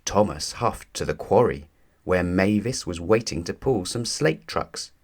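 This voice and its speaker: A man narrating a children's storybook aloud in an expressive, deliberate storytelling manner. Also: low-pitched.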